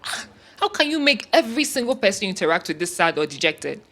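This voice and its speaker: A woman's voice: mid-range voice, big pitch swings, fast talker, fairly bright tone. Woman playing an indignant young Ghanaian medical student. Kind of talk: scripted audio drama acting.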